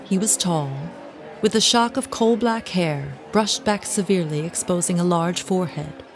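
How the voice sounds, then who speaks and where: An actress playing a resentful, well-to-do wife, narrating formally and dramatically in an audio drama. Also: female voice, on the low side, moderate pace, faint music behind, close to an everyday voice. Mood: ominous. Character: resentful, well-to-do wife recounting her confession.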